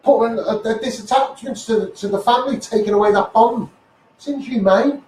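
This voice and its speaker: A Scouse man with a notably high voice rants like a street campaigner on a livestream. He is combative and blunt, swears, and hammers phrases by repeating them. The sound is echoey and distant, with clear noise behind him. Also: a little fast, darkish tone. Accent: Scouse (Liverpool). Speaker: campaigner, live streamer. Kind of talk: impassioned live rant to social media.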